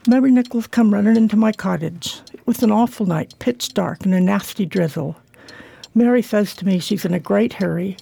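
A woman playing a humble village childminder, telling things in a folksy, deliberate way in a radio play. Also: mid-range voice, vocal fry, warm tone, breathy edge, no echo. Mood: earnest, recounting.

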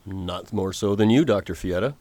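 A man playing a determined, formal Victorian gentleman hero in a radio drama, measured and reassuring. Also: low voice, dry recording, lightly acted. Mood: confrontational. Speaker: narrator. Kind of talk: radio drama acting and narration.

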